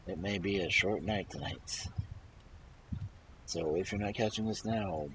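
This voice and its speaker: Low-pitched, animated male storyteller. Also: slight background noise, low-quality recording. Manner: animated, dramatic pauses and emphasis. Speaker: storyteller and host of a live reading stream. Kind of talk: expressive folktale read-aloud with casual asides.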